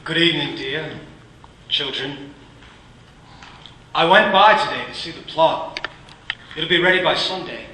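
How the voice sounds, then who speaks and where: An actor playing a gentle, grieving clerk and father who speaks softly and haltingly. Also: fairly high pitch, slight background noise. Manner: soft, halting, comforting. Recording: roomy, distant from the mic.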